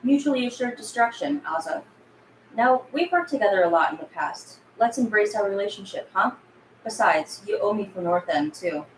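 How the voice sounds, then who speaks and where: A woman's voice: medium pitch, expressive, normal speed, close to an everyday voice. A sardonic, shrewd female boss of an underground crew, acted by a woman at a table read. She is hard-edged and foul-mouthed, always angling for leverage. The room is echoey with noticeable noise. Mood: menacing, smug. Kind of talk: table read of a film script.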